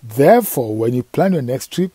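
A man with a husky voice reading a scripted voice-over for a travel vlog. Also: mid-range voice, expressive, moderate pace, warm tone, dry recording. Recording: dry voice-over over music.

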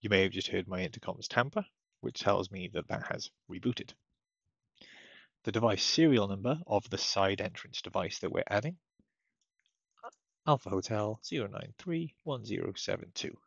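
A man with a low, husky voice talks through software steps casually, as a technical support presenter. The sound is dull and slightly lo-fi, recorded at a computer. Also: lively intonation, normal speed, no echo. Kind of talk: casual step-by-step software tutorial narration. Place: indoors at a computer, screen-recording voice-over. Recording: dull lo-fi close dry microphone.

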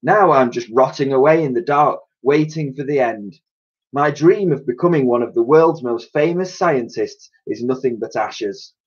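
A man's voice: mid-range voice, moderate pace, breathy edge, muffled recording, voice pushed up, close to an everyday voice. A man voicing a pompous, self-pitying old male scientist in a children's storybook reading. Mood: mournful. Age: older.

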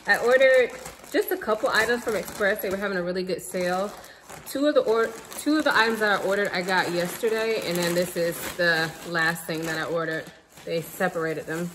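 A woman's voice: on the low side, brisk, long reverb tail, background noise. A woman in her thirties chatting casually to viewers about things she bought, seated at home in front of the camera. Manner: chatty, relaxed, addresses viewers as y'all. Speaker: vlogger. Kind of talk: casual haul vlog chat. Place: living room at home, indoors.

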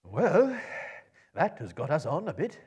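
A man voicing a brisk, commanding old wizard in a storybook reading, with loud, emphatic delivery. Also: a little high, wildly swooping pitch, slightly rough, dull audio, voice pushed up, exaggerated voice. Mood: wry.

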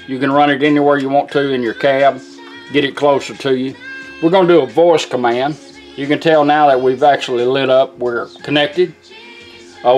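Higher-pitched man, plain-spoken demonstrator. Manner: plain-spoken, step-by-step instruction. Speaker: vintage car radio technician demonstrating a product. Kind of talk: informal hands-on product demonstration. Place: small indoor workshop at a bench.

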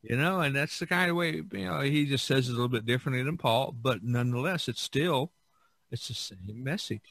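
Guy hosting a laid back podcast, bright voice, stop and start talker, dry sound. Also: medium pitch, a little fast, dry recording. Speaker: podcast host. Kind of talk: casual chat.

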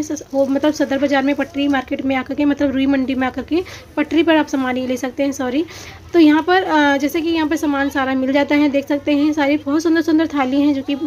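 Woman filming on her phone at a street market, high voice, lots of ums, faint bustle behind. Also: darkish tone, slight background noise. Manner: chatty, hesitant with many fillers, addresses viewers as friends. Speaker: shopping vlogger. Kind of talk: walking market tour with price talk. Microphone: handheld phone, camera pointed at goods.

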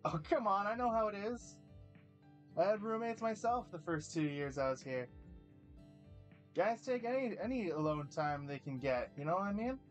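A man's voice: sits high, lively intonation, moderate pace, soft background music, pitched above the performer's own voice. A man putting on a brash, teasing college buddy. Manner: playful, ribbing, brash.

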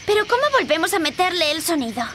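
Very high-voiced, earnest young heroine. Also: female voice, sing-song, fast talker, pushed and bright, a little hiss or hum, exaggerated voice. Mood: curious. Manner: earnest, sincere. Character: brave, kind young girl adventurer. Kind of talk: video game character acting.

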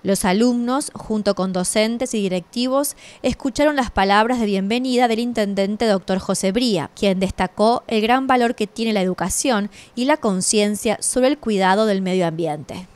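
An Argentine woman reading a scripted local news voice-over in an even, read-aloud delivery. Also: mid-range voice, normal speed, few pauses, clean tone. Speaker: news reporter.

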